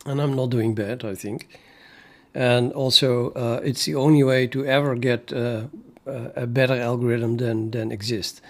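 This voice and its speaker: Dutch-accented man, native Dutch speaker, rambling casual coding-stream chat, halting and full of restarts, mid-range voice. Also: medium pitch, fairly even intonation, a little mellow. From Netherlands. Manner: thinking aloud, many fillers and restarts. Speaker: programmer streaming his coding.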